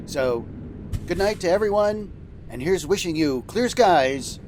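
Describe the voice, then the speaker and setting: A man's voice: sits high, lively intonation, measured pace. A man hosting as a trucker captain, with easygoing, folksy, semi-scripted banter. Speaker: host playing trucker captain. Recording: loud vehicle noise.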